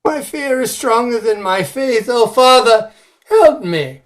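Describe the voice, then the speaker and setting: Man acting high, slow-spoken devout old Roberts. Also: lively intonation, fairly bright tone, full character voice. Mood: fearful, praying. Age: older. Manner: solemn, prayerful, ominous, deliberate. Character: old Roberts, grave, fearful, devout servant with a lantern. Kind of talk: old-time radio horror acting.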